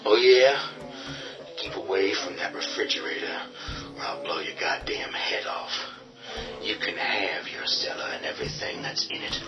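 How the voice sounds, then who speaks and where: A man's voice: on the low side, lively intonation, moderate pace, natural voice. An actor playing a hard, commanding man who defends a boarded-up farmhouse and turns to menacing whispers. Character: tough defiant man holding a farmhouse. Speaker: Ben. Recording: dull distant audio over music.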